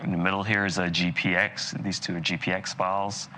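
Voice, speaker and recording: Man, very low pitch, forceful bright tone, from Barbados, casual lecture style, faint background noise. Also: a little fast. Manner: relaxed, conversational, many fillers and asides. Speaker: dental lecturer. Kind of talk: live continuing-education lecture.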